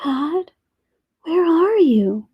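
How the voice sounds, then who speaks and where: Storybook child role read by a woman: curious, earnest little girl, hushed and wondering, lifting high on her questions, slow delivery. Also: very animated, no echo, muffled recording, heavily acted. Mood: hushed, searching. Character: curious young girl searching earnestly for God.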